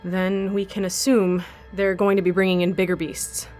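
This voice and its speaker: An actress voices a hard, bitter woman held captive to fight in an arena. She is blunt and sardonic, always looking out for herself and demanding answers. Her pitch is average for a woman, and music plays behind this audio drama. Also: level tone, normal speed, darkish tone, close to an everyday voice. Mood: demanding, cold.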